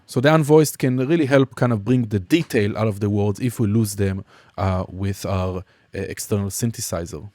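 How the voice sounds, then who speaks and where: A man teaching music production in a casual software demonstration, explaining informally and somewhat hesitantly step by step. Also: fairly low pitch, moderate pace. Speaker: music production tutorial instructor.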